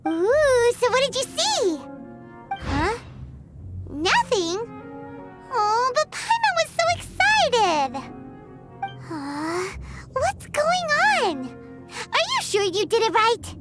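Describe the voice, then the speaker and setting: Tiny childlike game fairy, very high squeaky voice, animated, slow-paced, curious and chatty. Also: female voice, full character voice. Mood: excited, surprised. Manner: exclamatory, expressive, refers to self in third person. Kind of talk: anime-style video game voice acting. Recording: studio voice under prominent background music. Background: game music.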